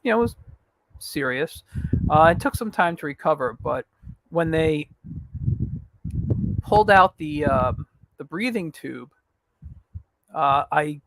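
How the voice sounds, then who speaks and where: A man hosting his podcast on a casual livestream announcement, rambling with fillers, his voice raspy at times. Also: fairly high pitch, expressive, slow, long pauses, a bit bright, no echo, low-quality recording. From New York. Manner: rambling, frequent fillers and restarts. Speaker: podcast host.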